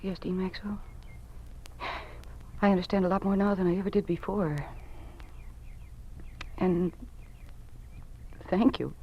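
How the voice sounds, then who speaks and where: Young new teacher female actor: medium-pitched, anxious, polite, hesitant; old radio drama with clear recording noise. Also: steady tone, unhurried, pauses often, highs cut off, natural voice. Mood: earnest, subdued. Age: young adult.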